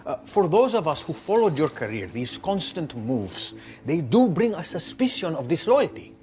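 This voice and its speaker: Animated, higher-voiced sports reporter. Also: male voice, moderate pace, soft background music. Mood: pointed. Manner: hesitant openers, pointed questioning. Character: probing male sports journalist at a press conference. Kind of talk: video game cutscene acting.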